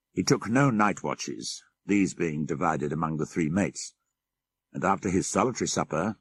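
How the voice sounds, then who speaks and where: A male narrator reads a classic tale of horror in a very low voice with a husky grain. His delivery is measured and literary, swinging widely in pitch for dramatic effect. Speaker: audiobook narrator. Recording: 1990s audiobook cassette.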